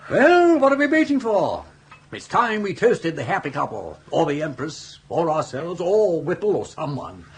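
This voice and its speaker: An actor playing a jaunty, persuasive older English gentleman in a radio comedy, with an upper-class accent and a breezy, coaxing manner. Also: fairly high pitch, very animated, normal speed, husky, heavily acted. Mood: jovial. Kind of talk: British radio comedy drama.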